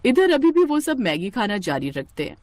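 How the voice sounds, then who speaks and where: Female narrator, scripted storytelling, expressive, lively intonation, dry studio sound. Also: fairly high pitch, normal speed, dry recording.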